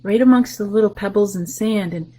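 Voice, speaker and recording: A woman reads a rhyming picture book to young children at a library story time, stopping to talk about each picture. Her voice is gentle and a little breathy, with a middling pitch. She often sinks into a soft whisper. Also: fairly quick, rough recording.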